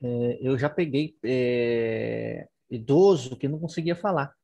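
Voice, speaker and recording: A man's voice: medium pitch, unhurried, gravelly, mellow. A Brazilian man, a student in an online class, speaking earnestly and hesitantly, searching for words over a video call.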